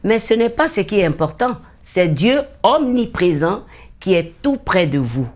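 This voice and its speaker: A woman's voice: medium pitch, big pitch swings, measured pace. A woman hosting a religious programme, presenting to viewers in a scripted way. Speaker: TV host.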